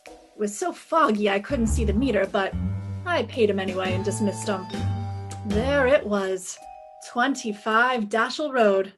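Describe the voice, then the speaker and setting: A woman's voice: mid-range voice, natural voice. A woman playing a brash, wisecracking young private detective in a retro radio drama, wry and self-assured.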